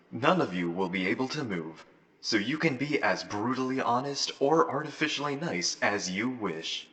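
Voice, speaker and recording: A man's voice: medium pitch, sharp tone. A man playing a slick, polished announcer for a futuristic dating company in a scripted audio roleplay. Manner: polished, salesman-like. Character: slick, upbeat male corporate announcer for futuristic dating service.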